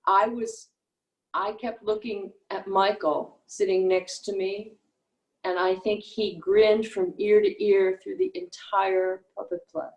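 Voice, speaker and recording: A woman's voice: medium pitch, flat delivery, slow, darkish tone. A woman chatting casually on a video call. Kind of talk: casual chat. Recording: roomy, dull video call audio.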